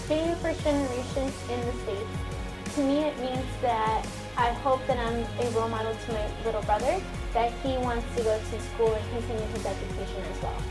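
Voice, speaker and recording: A woman's voice: a little high, poor audio. A young woman in her twenties, a university student from Mexico raised in Chicago, giving a candid, upbeat personal testimonial with some hesitant fillers. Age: 20s. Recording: music playing under the voice.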